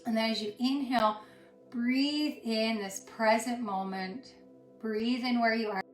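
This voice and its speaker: Slow, soothing woman guiding yoga, roomy echo. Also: a little high, fairly even intonation. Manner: soothing, unhurried, pausing between short phrases. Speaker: yoga instructor. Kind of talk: guided yoga and meditation instruction. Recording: roomy echo with music underneath. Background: music.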